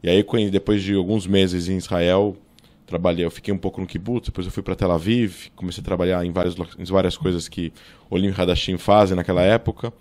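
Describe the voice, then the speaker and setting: A low-voiced Brazilian man from São Paulo, in his thirties, talking as a podcast guest. He rattles through his story fast, full of fillers and self-corrections. He speaks close to a studio mic in a small room. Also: fairly even intonation, darkish tone. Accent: Brazilian Portuguese, São Paulo. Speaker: guest, political scientist. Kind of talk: casual interview, storytelling. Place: small studio with table and monitor. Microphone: boom-arm studio mic.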